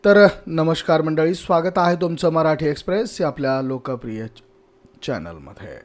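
A man's voice: fairly high pitch, expressive, normal speed, faint music behind. A male news host. He delivers commentary to viewers, stressing and restating his points.

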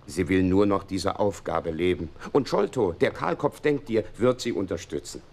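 An actor playing an earnest gentleman doctor who narrates a detective radio play in measured, literary phrases. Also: sits low, expressive, gravelly, full character voice. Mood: wistful, confiding.

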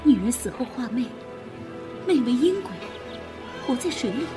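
Woman acting a high-pitched, frightened young woman. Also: expressive, moderate pace. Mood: grim, alarmed. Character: frightened young woman explaining ghostly horrors. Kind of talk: Chinese audio drama acting. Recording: music under the voice. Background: dramatic music.

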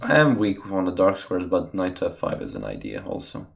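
Guy streaming chess, really low voice, talks through his moves and answers chat. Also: wildly swooping pitch, brisk, creaky. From Croatia. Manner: thinks aloud about moves, chats to viewers between moves. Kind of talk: live game commentary with chat. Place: small room indoors.

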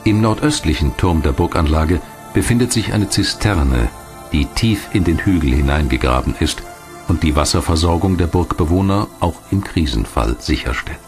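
Deep-voiced man narrating a documentary. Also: expressive, moderate pace. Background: music behind the voice.

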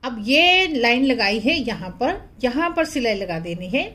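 The high voice of a woman you would hear over a home sewing tutorial, plainly walking viewers through each step. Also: sing-song, unhurried, pushed and bright. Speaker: craft tutorial host. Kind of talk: step-by-step instructional narration.

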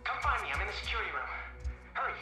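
An actor playing a glib, self-justifying young escape helper in a video game, talking in a wry, casual, hurried way. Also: mid-range voice, very animated, bright, forceful tone, faint music behind. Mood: urgent. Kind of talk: naturalistic game cutscene acting.

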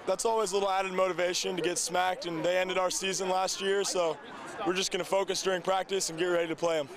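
A young football player giving steady, composed postgame answers on a stadium field at night. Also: male voice, high-pitched, quick pace. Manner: steady, composed stock phrases. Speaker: high school football player. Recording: crowd noise in open stadium.

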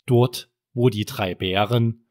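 A man's voice: fairly low pitch, steady tone, slow pace, a little mellow, no echo, studio quality. A man narrating a scripted bedtime story for children as a storyteller.

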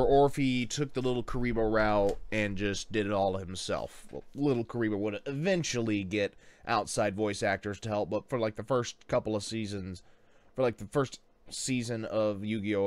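A young man talks casually as a reaction video host, close on a microphone in a bedroom. His delivery is halting and unscripted as he thinks aloud, with very little room sound around him. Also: on the low side, no room sound. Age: young adult. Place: small bedroom indoors. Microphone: close microphone on a boom arm.

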